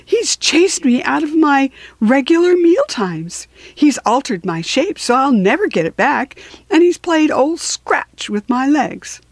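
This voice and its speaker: A woman's voice: high voice, big pitch swings, unhurried, no room sound, pitched above the performer's own voice, exaggerated voice. A woman voicing a tired, aggrieved old male kangaroo in a storybook reading, whining and indignant.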